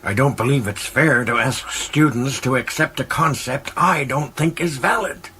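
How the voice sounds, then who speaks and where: A man's voice: medium pitch, moderate pace, pushed and bright, slight background noise, pitched above the performer's own voice, natural voice. A man voicing a stubborn, defiant young male space academy cadet in an audiobook, challenging and earnest. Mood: firm, defiant. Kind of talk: audiobook character dialogue.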